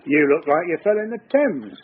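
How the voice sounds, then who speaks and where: An actor playing a fond, fussing older father in a radio drama, with a creaky voice. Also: fairly high pitch, very animated, a little fast. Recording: tinny band-limited sound, faint noise.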